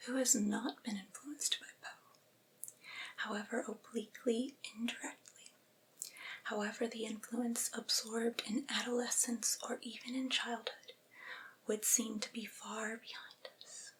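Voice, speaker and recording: A woman reading a literary essay aloud for ASMR in a breathy, unvoiced whisper, with hushed, careful phrasing. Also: a little high, level tone, measured pace, a little hiss or hum, low-quality recording.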